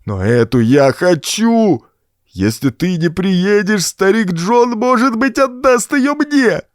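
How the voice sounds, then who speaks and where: Crazed dimwit thug for a horror audiobook, strained screechy high voice, howling threats, drags words out. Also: male voice, expressive, no room sound, studio quality. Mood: shrill frenzy. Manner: bellowing, howling threats in slow bursts. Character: big deranged simple-minded young brute, menacing.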